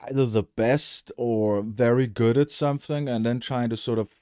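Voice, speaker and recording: A man's voice: mid-range voice, moderate pace, a little mellow, clean tone, no echo, clean studio sound. A man hosting a podcast, chatting reflectively and casually with frequent fillers. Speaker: podcast host. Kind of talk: casual conversational podcast chat.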